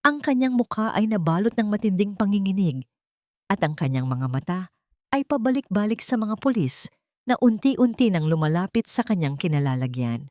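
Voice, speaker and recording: A woman's voice: medium pitch, expressive, normal speed, mellow, no echo, clean studio sound. A woman narrator reading a scripted audio drama in a dramatic storytelling style.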